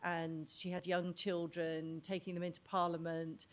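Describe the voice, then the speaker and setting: A woman panelist answering in a measured, thoughtful way. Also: fairly low pitch, little pitch movement.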